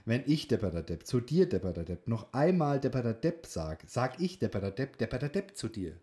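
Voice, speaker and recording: A man's voice: mid-range voice, sing-song, fairly quick, warm tone. A man co-hosting a podcast, chatting in an informal, bantering way. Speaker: podcast co-host; student in a speech training class. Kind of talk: casual podcast chat with tongue-twister game.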